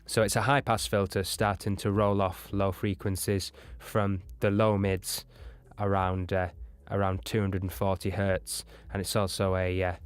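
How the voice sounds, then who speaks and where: A man's voice: deep voice, clean tone. A man narrating an explanatory lesson as an audio engineering instructor.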